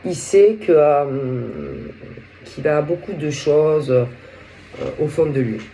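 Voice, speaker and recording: A woman with a head cold and a sore throat, giving a casual tarot reading in a halting way, often searching for words. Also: deep voice, big pitch swings, warm tone, a little hiss or hum, poor audio. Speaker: tarot reader.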